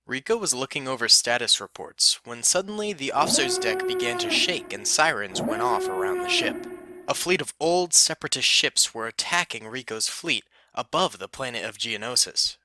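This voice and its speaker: A man reading a scripted war story as narrator, in a steady storybook manner. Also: a little high, moderate pace, heavy background noise.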